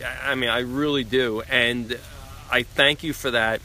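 A man's voice: medium pitch, steady tone, unhurried, sharp tone, a little hiss or hum, low-quality recording. A man from Long Island with a speech impediment, speaking earnestly as a podcast guest outdoors, often repeating words. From Long Island, New York. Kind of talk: casual heartfelt conversation. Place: outdoors in the cold.

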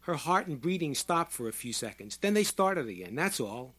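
Man playing an urgent, high-pitched pleading doctor. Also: very animated, normal speed, fairly bright tone. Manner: raised voice, urgent short explanatory sentences. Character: male doctor pleading with a restless crowd, urgent, rational. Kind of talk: audiobook character voice.

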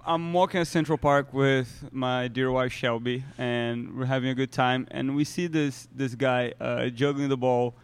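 Smooth-voiced man telling stories. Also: mid-range voice, a little slow, hardly any pauses, no room sound. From Brazil. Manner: animated storyteller, many "you know" fillers. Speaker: podcast co-host, soccer player. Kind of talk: casual chat, storytelling.

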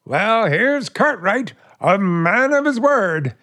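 A man's voice: high-pitched, big pitch swings, takes it slowly, fairly bright tone, a little hiss or hum, exaggerated voice. A man playing a hesitant, polite family friend in 1940s radio comedy style. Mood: polite, puzzled.

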